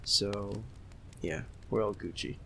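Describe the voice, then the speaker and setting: A man's voice: on the low side, takes it slowly, creaky, slight background noise, full character voice. A man playing a giddy, homesick young friend in an ASMR roleplay, chatting casually with a giggly, hesitant manner. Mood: cheerful, instructing. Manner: giggly, hesitant, softly excitable. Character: giddy, homesick young male Filipino friend, sweet and apologetic. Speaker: audio roleplay performer.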